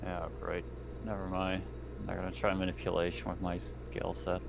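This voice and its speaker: A man with a low, creaky voice plays a tabletop game on a voice call. His talk is casual and halting, and there is clear noise behind him. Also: completely flat delivery. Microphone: online voice call.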